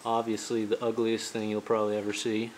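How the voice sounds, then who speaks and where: A man giving a casual, halting, self-deprecating hands-on demonstration as a hobbyist vlogger. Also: fairly low pitch, monotone, a little mellow, rough recording. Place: garage workshop. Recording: clear ambient workshop noise.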